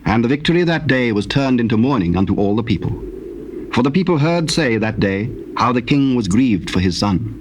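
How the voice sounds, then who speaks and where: A man's voice: medium pitch, expressive. Formal male scripture narrator, noisy tape. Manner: measured, formal storytelling. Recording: noisy old cassette tape.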